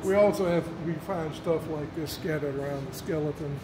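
An older man giving a guided museum tour, telling folksy stories with halting restarts, recorded in a large indoor hall. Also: a little high, noisy background. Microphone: camera held by a visitor nearby.

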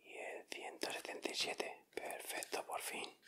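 A man's voice: measured pace, pushed and bright. A young man with a Castilian Spanish accent whispering in ASMR style as a host, addressing his viewers directly and without hurry.

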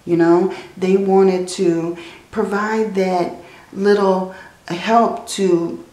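A woman reading tarot cards in a slow, soothing way, her voice tired and strained from many readings. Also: mid-range voice, fairly even intonation, mellow, echoey room, low-quality recording. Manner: slow, soothing, often adds "okay?" and "I feel like". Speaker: tarot reader. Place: indoors at a table, hands seen handling cards.

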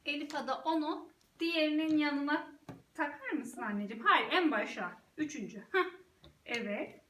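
A woman's voice: high-pitched, normal speed, bright, forceful tone. A woman talking as a mother guiding her young child through play, giving short encouraging prompts and addressing viewers casually. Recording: roomy, distant mic, clear background noise.

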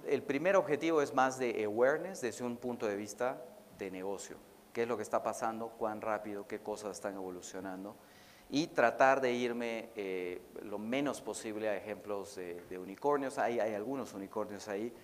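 A man's voice: on the low side, big-room sound, a little hiss or hum. A middle-aged Peruvian man with a mixed Peruvian accent, giving a conversational conference talk with casual anecdotes and frequent fillers. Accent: Peruvian Spanish, mixed with Mexican. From Peru. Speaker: conference speaker. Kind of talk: academic talk.

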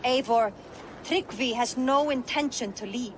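A woman's voice: fairly high pitch, measured pace, slight background noise, natural voice. An actress playing a frank Viking woman, a trusted companion, in a video game. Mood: frank, concerned. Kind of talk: video game dramatic acting.

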